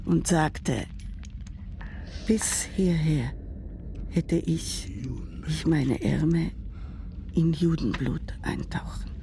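An actress playing an elderly grandmother who tells a grandchild about her childhood, speaking haltingly with broken grammar. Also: sits low, creaky, mellow, slight background noise, exaggerated voice. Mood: grave. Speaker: narrator figure telling a childhood memory. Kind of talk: radio drama monologue.

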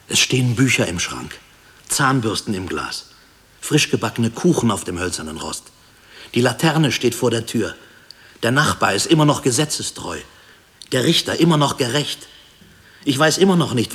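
Radio drama husband, ex-prisoner: low, grave, brooding, in a long reverberant space. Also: male voice, gravelly, fairly bright tone, exaggerated voice. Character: haunted husband, former prisoner, brooding over his past. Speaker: actor in a radio play. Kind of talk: 1960s German radio drama acting.

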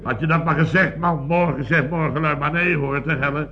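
A man's voice: a little high, steady tone, a little fast, close to an everyday voice. Man playing a blustering boss, dull old recording. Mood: exasperated. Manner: rambling, repetitive, exclamatory storytelling with tag questions. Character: blustering, boastful male building contractor boss. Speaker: actor in a radio comedy serial. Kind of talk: 1970s radio comedy acting.

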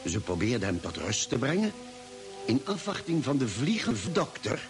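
A man as an earnest narrator of an old radio space adventure, reading scripted narration in a measured, dramatic way. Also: mid-range voice, big pitch swings, over music, close to an everyday voice.

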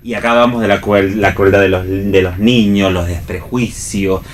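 Argentine man, halting reflective radio talk. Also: lowish voice, fairly even intonation, slight background noise. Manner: reflective, halting, searching for words with repetitions. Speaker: radio theatre show host. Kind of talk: unscripted cultural radio discussion.

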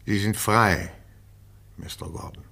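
An actor playing a stern, probing police interrogator in a radio crime drama, formal and insistent. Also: male voice, fairly low pitch, slow, vocal fry, bright, forceful tone, slight background noise, heavily acted. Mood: grave, conclusive.

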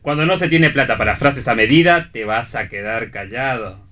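A man's voice: mid-range voice, lively intonation, moderate pace, bright, forceful tone. An actor playing a sardonic, free-spirited man who teases with dry quips in a read radio play. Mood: sardonic, teasing. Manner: wry, teasing, dry quips. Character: Miguel, sardonic free-spirited man back after long absence. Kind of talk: staged reading of a radio play.

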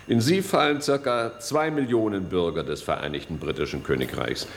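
An actor playing a middle-aged male security chief in a German radio play, authoritative and courteous but guarded, in formal, deliberate officialese. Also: fairly low pitch, creaky. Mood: official, explanatory.

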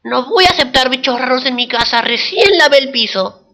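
A woman's voice: high-pitched, animated, normal speed, bright, forceful tone, exaggerated voice. A woman with an Argentine accent playing a haughty, disapproving mother-in-law in a comic radio serial. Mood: scornful. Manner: haughty, scornful.

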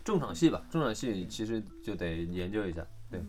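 A man's voice: lowish voice, sing-song, fairly quick, darkish tone, soft background music. A man talking casually as a voice actor guest in a conversation, emphatic and often repeating short phrases for stress. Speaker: voice actor guest on an audio drama chat. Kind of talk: casual chat.

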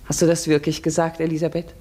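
An actress playing a village schoolteacher in a German radio drama, articulate and measured, stern yet gentle. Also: female voice, low voice, level tone, close to an everyday voice. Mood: probing, gentle. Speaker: actor in a radio play. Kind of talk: German radio drama, narration and classroom dialogue.

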